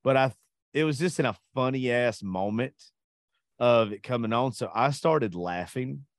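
A man's voice: mid-range voice, normal speed, dry recording, studio quality. A man hosting a casual podcast chat, blunt and crude, joking and swearing freely. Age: adult. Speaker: podcast host.

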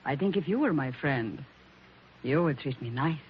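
Jealous, swooping Italian-accented spitfire. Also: female voice, sits low, lively intonation, normal speed. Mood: seductive. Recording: dull, noisy old radio recording.

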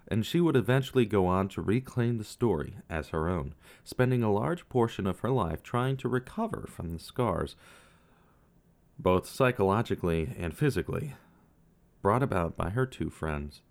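A man giving scripted true crime narration, measured, with casual asides to the viewer. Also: on the low side, sing-song, a little mellow. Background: faint guitar music.

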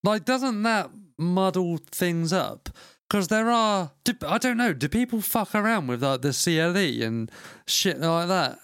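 A man's voice: high-pitched, expressive, fairly bright tone, smooth, no room sound. Joking man podcasting, dry close sound. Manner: jokey, swearing, quick banter. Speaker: podcast co-host. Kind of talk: casual podcast chat.

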